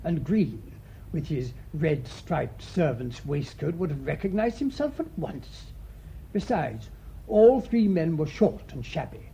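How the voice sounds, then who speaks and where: A British man acting a thoughtful, shrewd priest sleuth in a radio mystery, speaking in a measured, deliberate way. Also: very animated, gravelly, mellow, exaggerated voice. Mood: shrewd, assured. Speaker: actor in the lead detective role. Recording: muffled radio recording with faint rumbling noise.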